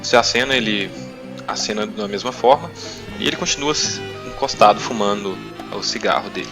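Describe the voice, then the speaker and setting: A man's voice: on the low side, fairly quick, music in the background. A young Brazilian man improvising tabletop RPG narration as game master, hesitantly searching for his words.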